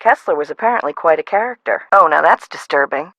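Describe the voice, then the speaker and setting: A businesslike, exacting female boss in a video game. She speaks bluntly and matter-of-factly in a slightly low voice. The sound is close and dry. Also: lively intonation, fast talker, fairly bright tone, dry recording, heavily acted.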